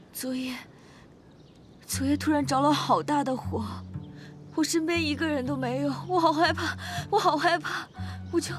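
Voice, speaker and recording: An actress playing a frightened, tearful young noble lady in a Chinese period drama, plaintive and halting. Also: sits high, lightly acted.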